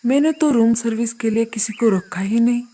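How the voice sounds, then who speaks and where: A woman's voice: fairly high pitch, normal speed, dark tone, lightly acted. A woman voicing a polite, earnest male crow who runs a hotel in a children's cartoon story. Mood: worried.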